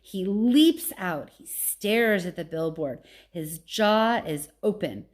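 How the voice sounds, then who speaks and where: A woman's voice: mid-range voice, expressive, slow. Woman reading a storybook aloud expressively.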